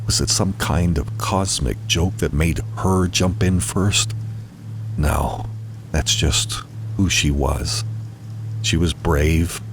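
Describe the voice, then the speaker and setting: A man narrating a scripted first-person horror story in a somber, suspenseful, dramatic manner. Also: a bass voice.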